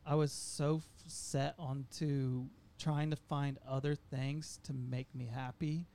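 A man's voice: medium pitch, level tone, slow pace, dark tone. A man casually sharing his faith story, candid and self-deprecating, with a slight stutter. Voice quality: mentions a stutter. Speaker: guest sharing testimony. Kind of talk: casual chat.

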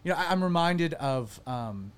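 A man who pastors and hosts a talk show, chatting casually with a panel, animated and self-deprecating, often restarting his sentences. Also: on the high side, normal speed, smooth. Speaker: pastor and podcast host.

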